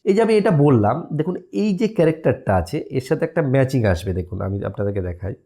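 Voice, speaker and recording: A man's voice: medium pitch, sing-song, fast, dark tone. A man giving a casual step-by-step app tutorial, conversational and explanatory, often checking that the listener follows. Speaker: tutorial presenter.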